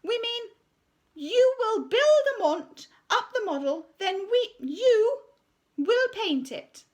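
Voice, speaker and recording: A woman's voice: very high-pitched, lively intonation, slow, pitched above the performer's own voice, exaggerated voice. A woman voicing a vain, pompous female art teacher who mangles fancy words and adds fake French flourishes. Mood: bossy.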